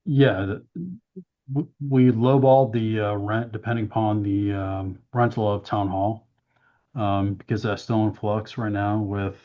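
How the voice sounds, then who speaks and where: A man presenting a town budget at a council workshop, hoarse from losing his voice, with a hesitant manner full of "um"s. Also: on the low side, flat delivery, measured pace. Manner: hesitant, many "um"s, explains plainly. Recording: dull, muffled meeting audio.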